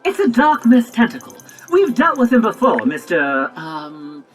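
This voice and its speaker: A woman's voice: mid-range voice, big pitch swings, a little slow, over music. A woman voicing a chatty, know-it-all robot guide in a video game, explaining things in a prim, wordy way. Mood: matter-of-fact, reassuring. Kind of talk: video game cutscene dialogue and narration.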